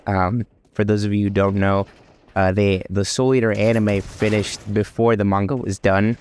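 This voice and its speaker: Young male vlogger, stuffed-up nose from a cold, lowish voice, loose rambling delivery, faint hiss behind. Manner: rambling, many ums and restarts. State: congested from a cold. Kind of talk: casual anime talk vlog.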